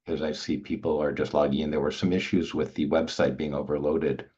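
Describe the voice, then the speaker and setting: An older man from Montreal co-hosts a webinar from home, talking loosely and greeting viewers by name as they join. His voice is very deep, and the video-call audio comes through dull and lo-fi. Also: level tone, brisk, dark tone. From Montreal, Canada. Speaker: organization founder and webinar co-host. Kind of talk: casual webinar chat. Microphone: video call laptop mic.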